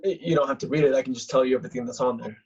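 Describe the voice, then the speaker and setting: A man playing a sweet, awkward, stammering young man with a husky voice in a comedic script read. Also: medium pitch, steady tone, very fast, a little mellow, lightly acted. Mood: nervous. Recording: dull lo-fi video-call audio.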